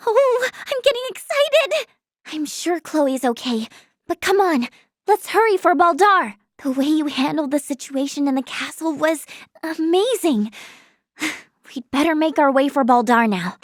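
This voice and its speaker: High, girlish, earnest young healer. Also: no room sound, studio quality. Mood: encouraging. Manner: earnest, expressive. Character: earnest, excitable, caring young female healer and researcher. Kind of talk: English video game voice acting.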